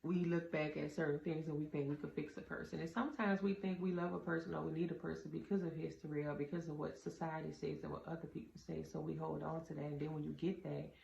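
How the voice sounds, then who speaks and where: A woman with a very low, deep voice and a gravelly edge talks earnestly to her viewers, giving casual advice. She sounds like she is in a roomy indoor space. The recording is a little dull, with a faint hum of ambience underneath. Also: level tone, quick pace, hardly any pauses, darkish tone. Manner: earnest, addresses viewers as y'all. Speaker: vlogger giving relationship advice. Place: indoor room with a door.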